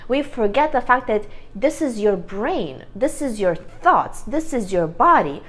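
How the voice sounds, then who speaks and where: A woman's voice: on the high side, sing-song, measured pace. A woman vlogger giving a casual, earnest self-help talk to camera, rambling and correcting herself as she goes, on a clip-on microphone.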